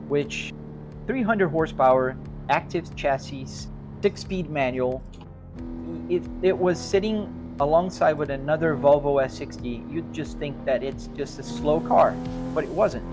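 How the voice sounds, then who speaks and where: A man from Brazil with a Brazilian accent, chatting casually on a live stream and answering viewers in an easygoing, rambling way. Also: fairly high pitch. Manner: easygoing, rambling, restarts and hedges. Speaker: sim racing live streamer. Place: home sim racing rig. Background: music playing.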